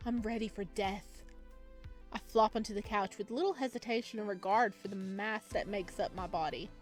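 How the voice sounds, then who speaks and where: A woman's voice: medium pitch, normal speed. A woman chatting casually and giggly as she plays a game and reads its story text aloud. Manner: chatty, giggly, reacts aloud while reading. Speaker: let's play host and reader. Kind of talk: casual game commentary and reading visual novel text aloud. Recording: music behind the voice.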